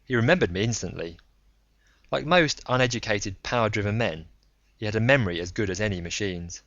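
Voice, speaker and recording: A man's voice: fairly low pitch, lively intonation, a little fast, fairly bright tone, no echo, muffled recording. A man reading a first-person story aloud as scripted audiobook narration. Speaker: audiobook reader.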